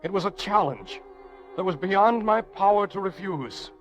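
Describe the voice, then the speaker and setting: An actor playing a proud, intense young prisoner in an old-time radio drama, speaking in earnest, reflective phrases. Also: male voice, high voice, lively intonation, measured pace, dull audio, soft background music. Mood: proud resolve. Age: young adult. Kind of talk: old-time radio drama acting, first-person narration.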